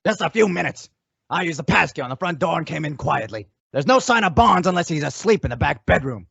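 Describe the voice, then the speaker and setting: An actor playing a bossy, jittery leader of crooks in an old-time western radio drama, giving curt orders. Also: male voice, fairly high pitch, animated, a little fast, gravelly, fairly bright tone, husky, no room sound, dull audio, heavily acted. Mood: tense, curt. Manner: curt, commanding.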